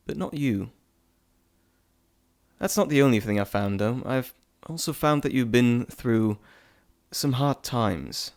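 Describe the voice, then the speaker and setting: A man voicing a playful, fond male messenger god in an intimate audio roleplay, chatty and teasing with theatrical pauses. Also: mid-range voice, expressive, a little mellow. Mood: fond, musing.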